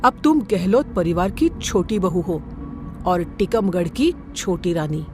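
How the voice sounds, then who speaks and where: A woman's voice: fairly low pitch, sing-song, unhurried, over music. A woman playing a cold, controlling middle-aged mother-in-law who speaks in a commanding way. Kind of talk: Hindi audio story acting.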